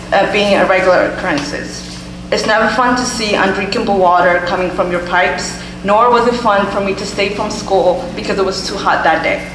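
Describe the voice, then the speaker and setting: A nineteen-year-old woman from Ethiopia, a student giving an earnest prepared comment at a public hearing, stumbling now and then. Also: medium pitch. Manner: earnest, reading a statement with some stumbles. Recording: echoey hall with background hum.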